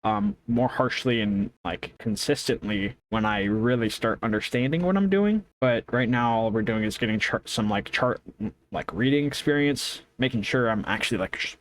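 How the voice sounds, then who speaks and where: A man's voice: mid-range voice, moderate pace, rough recording. A man thinking aloud in a casual, rambling audio journal, unsure of himself, often trailing off and rephrasing in loose slang. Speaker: vlogger recording an audio journal.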